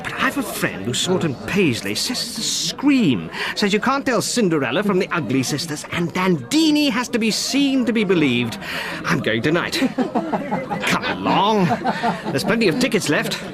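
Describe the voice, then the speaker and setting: A man's voice: high voice, wildly swooping pitch, normal speed. An actor in a radio drama playing a brash, boastful young man-about-town, teasing and affectedly chummy. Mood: jovial, teasing. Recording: busy pub crowd behind.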